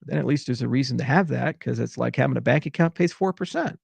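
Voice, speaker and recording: A man's voice: mid-range voice, quick pace, gravelly, darkish tone, breathy edge, no room sound. A man from Hawaii delivering an exasperated, rambling unscripted monologue as a tech founder giving commentary.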